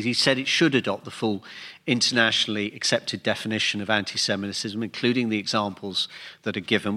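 A man presenting a political panel debate, setting out points in a measured, precise way. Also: lowish voice, bright, forceful tone. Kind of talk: broadcast political debate moderation. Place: radio theatre stage.

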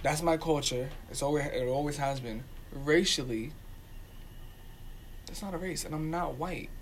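A man with a voice a little higher than most talks in a casual, opinionated way. He is emphatic and repeats points to drive them home. There is some noise in the background. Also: normal speed. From Dominican community.

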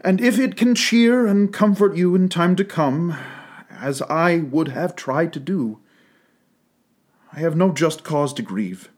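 A man voicing a soft, sorrowful young woman. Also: a little high, expressive, slow, pitched above the performer's own voice. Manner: soft, pleading, rising tone. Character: gentle sorrowful young woman, grave and steady.

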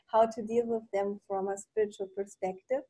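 A woman's voice: mid-range voice, steady tone, moderate pace, mellow, breathy edge, no room sound. A woman in her thirties from Poland, answering interview questions hesitantly with many ums and restarts. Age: 30s. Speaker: podcast guest.

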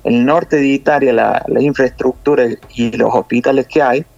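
A man from Córdoba with a Cordoban Argentine accent, a nurse explaining things in a measured way with many hesitations over a phone interview. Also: medium pitch, steady tone, gravelly, darkish tone, no room sound, lo-fi sound. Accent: Cordoban Argentine Spanish.

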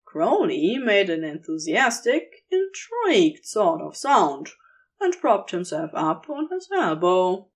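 A woman's voice: medium pitch, sing-song, slow. A woman reading scripted fiction as the narrator of an audio story.

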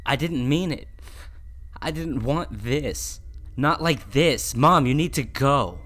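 A man's voice: mid-range voice, slow pace, a bit bright, pitched above the performer's own voice. Man acting a terrified son, raised pleading cries. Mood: anguished pleading. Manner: crying out, pleading. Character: terrified grieving son pleading with dead mother. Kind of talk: horror audio story acting.

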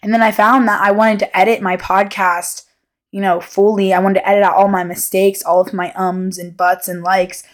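A woman's voice: medium pitch, level tone, normal speed. Candid, rambling young woman podcasting. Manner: rambling, self-interrupting, candid. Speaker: podcast host. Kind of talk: casual unscripted solo podcast rant.

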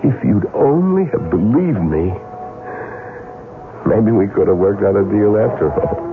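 An actor playing a desperate, bitter crook in a 1970s radio drama, with noisy old radio sound. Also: male voice, lowish voice, lively intonation, a little slow, phone-quality sound, music in the background. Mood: bitter resignation. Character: cornered scheming crooked man, desperate and bitter.

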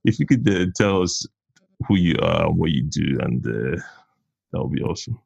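A man's voice: low voice, sing-song, moderate pace, creaky, darkish tone, husky, no room sound, highs cut off. A man hosting a podcast, talking casually and with enthusiasm to his guest. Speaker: podcast host.

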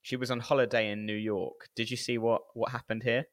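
A man's voice: medium pitch, flat delivery, fairly quick, a bit bright. A man co-hosting a podcast, chatting and relating stories in a casual, conversational way. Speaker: podcast co-host. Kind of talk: casual chat and storytelling.

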